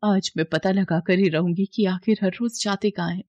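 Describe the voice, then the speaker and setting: A woman's voice: mid-range voice, steady tone, normal speed, darkish tone, no echo, highs cut off, lightly acted. A woman voicing a hurt, frustrated young wife in a story, pleading and indignant with her husband.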